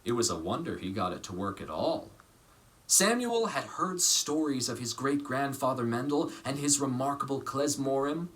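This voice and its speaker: A man reading a children's story aloud in a measured, expressive way, pausing for emphasis. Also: medium pitch. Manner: measured, dramatic phrasing with pauses for emphasis. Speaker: storybook reader.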